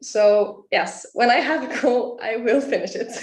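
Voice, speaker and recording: A woman's voice: fairly high pitch, a little slow, roomy sound, slight background noise. An eighteen-year-old woman from Iceland, a pageant contestant answering interview questions earnestly and laughing often. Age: young adult.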